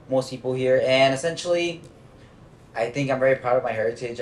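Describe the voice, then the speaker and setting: A young man from the United States talks about his summer on a student podcast, relaxed and reflective, his voice sitting in the middle of the male range. The room adds a noticeable echo, with a faint hiss underneath. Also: medium pitch. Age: young adult. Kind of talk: casual podcast chat. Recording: echoey room, faint noise.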